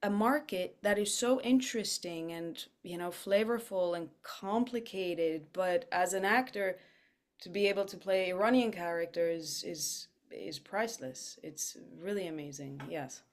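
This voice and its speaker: A woman's voice: lowish voice, unhurried. A woman raised in Switzerland, Farsi her first language, chatting animatedly as an interview guest with self-deprecating asides. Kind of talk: casual interview chat.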